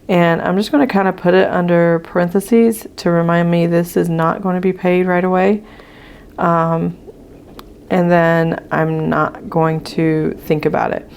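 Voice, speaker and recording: A woman with a hoarse voice, casually walking viewers through her budget sheets as a channel host, with frequent ums as she writes. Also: fairly low pitch, darkish tone. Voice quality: gravelly. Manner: chatty with frequent ums, talking while writing.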